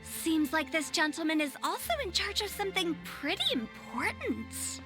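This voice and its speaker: A woman's voice: very high voice, animated, moderate pace. A woman voicing a playful, self-assured young woman with a breezy, teasing manner in a video game. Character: playful, self-assured young female funeral parlor director. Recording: music behind the voice.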